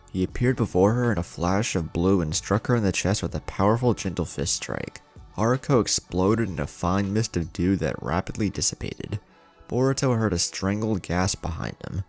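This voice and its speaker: Really deep male narrator, lively dramatic storytelling, bit of fry, faint music under it. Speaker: channel host and narrator. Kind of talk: dramatic scripted fan fiction narration.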